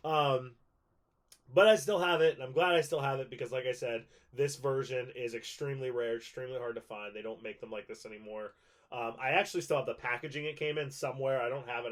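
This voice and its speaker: A man in his thirties casually explaining things to viewers, rambling a little and repeating points for emphasis. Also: mid-range voice. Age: 30s. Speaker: video host and prop collector.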